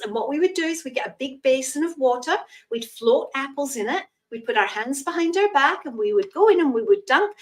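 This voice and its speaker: A Scottish woman in her sixties giving a live tarot reading, chatting in a gentle, reassuring way and using terms of endearment. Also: high voice, far from the mic. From Scotland. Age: 60s. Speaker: psychic tarot reader.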